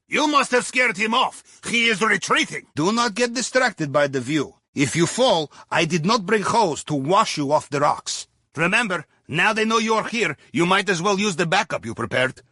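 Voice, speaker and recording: An animated, high-pitched Russian heist captain. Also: male voice, dry recording, studio quality, heavily acted. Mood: urgent, animated, then dry, instructive. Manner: deadpan, wry, folksy, clipped grammar. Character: wry Russian submarine captain guiding a heist crew. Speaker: video game character. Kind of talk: scripted game mission briefing.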